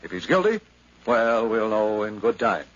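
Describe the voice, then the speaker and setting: An actor playing a measured, shrewd police inspector, courteous and probing, in a 1950s radio drama. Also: male voice, fairly low pitch, very animated, a bit bright. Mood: probing, assured. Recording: muffled 1950s radio recording.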